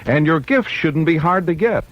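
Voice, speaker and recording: A man's voice: a little high, expressive, normal speed. A man reading a scripted advertisement as a vintage radio commercial announcer. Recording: dull vintage radio recording.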